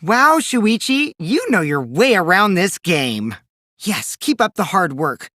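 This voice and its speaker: A man's voice: high voice, very animated, slow pace, sharp tone, no room sound, clean studio sound, heavily acted. A man voicing a mischievous, taunting teenage boy in an English video game, with childish, singsong mockery and theatrical delivery. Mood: feigned innocence. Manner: childish, singsong mockery, theatrical swings from gleeful to coolly sly.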